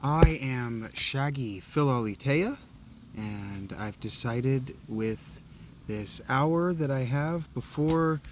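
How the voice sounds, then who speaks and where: A man's voice: mid-range voice, animated, takes it slowly, slight background noise. Goofy rambling gamer man. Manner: rambling, goofy, hums and sings nonsense. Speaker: let's play streamer. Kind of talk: casual gameplay commentary.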